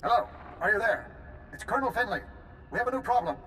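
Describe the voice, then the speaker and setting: Urgent, fast-talking military colonel. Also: male voice, high voice, lively intonation, pushed and bright, slight background noise, low-quality recording. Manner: brisk, commanding, earnest. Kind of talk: video game cutscene acting.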